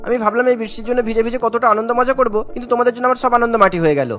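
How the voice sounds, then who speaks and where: A man's voice: very high-pitched, very fast, fairly bright tone, faint music behind. A man voicing a sulky young married son in a cartoon, whining and complaining. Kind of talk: cartoon voice acting.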